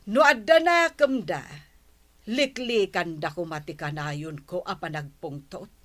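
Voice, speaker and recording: A woman's voice: on the low side, measured pace, fairly bright tone. A woman playing a fed-up, scornful middle-aged mother, blunt and exasperated, in an audio drama. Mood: bitter, dismissive. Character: fed-up, scornful mother washing her hands of her son. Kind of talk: Ilocano radio-style audio drama acting.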